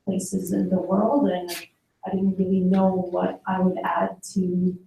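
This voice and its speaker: A woman's voice: on the low side, level tone, slow, vocal fry, mellow, a little hiss or hum. A young woman from Sweden, a photographer, talking reflectively about her path into the work, with frequent fillers. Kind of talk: personal talk about her career. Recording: echoey hall, heard from a distance.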